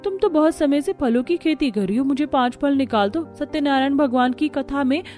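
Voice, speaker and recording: A woman's voice: high-pitched, normal speed, a little mellow, soft background music, pitched above the performer's own voice, lightly acted. A woman voicing a chummy middle-aged neighbour lady with a pleading manner in a family cartoon. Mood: friendly pleading. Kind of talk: Hindi family cartoon dialogue.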